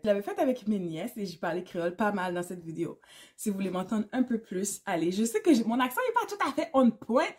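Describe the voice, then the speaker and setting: A chatty woman with a Québécois French accent, talking casually to her camera in a vlog. She sounds in her thirties, her voice sits at an ordinary pitch, and she drops English words into her talk. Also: darkish tone. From Canada. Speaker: YouTuber.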